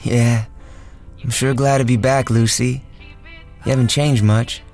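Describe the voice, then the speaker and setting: An actor playing a man who is a terse, slangy sweetheart, quick to argue, in an old-time style radio drama. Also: mid-range voice, steady tone, a little mellow, faint music behind, lightly acted. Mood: tender.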